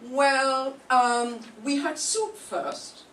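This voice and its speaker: An older woman playing a genteel, fretful housewife in an old radio mystery, theatrical and halting. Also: high-pitched, fairly bright tone, exaggerated voice. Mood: shocked. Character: genteel housewife hostess, fretful, suddenly widowed. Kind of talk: 1940s radio mystery acting. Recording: roomy, distant mic, faint noise.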